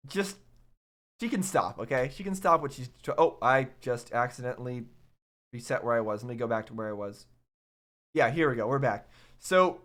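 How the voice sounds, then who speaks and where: A man's voice: fairly high pitch, a bit bright. A man giving casual, off-the-cuff reaction commentary as host, thinking aloud in a rambling way and repeating himself.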